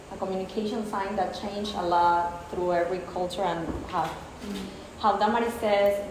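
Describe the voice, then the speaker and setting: A woman whose first language is Spanish talks thoughtfully in English on an artists' panel, stopping to search for words. Her voice bounces around a very echoey room. A faint hiss sits underneath. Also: medium pitch. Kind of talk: reflective panel discussion. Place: exhibition space, indoors.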